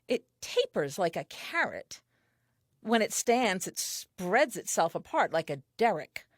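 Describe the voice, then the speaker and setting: A woman's voice: mid-range voice, no room sound, clean studio sound. An actress playing a curious, earnest, newly created first woman, reading her diary aloud with naive, precise self-assurance in an audio play.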